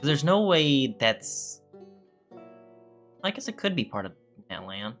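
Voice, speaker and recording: A man's voice: mid-range voice, big pitch swings, takes it slowly, fairly bright tone, soft background music. A man giving casual commentary as a gaming streamer, rambling and thinking aloud.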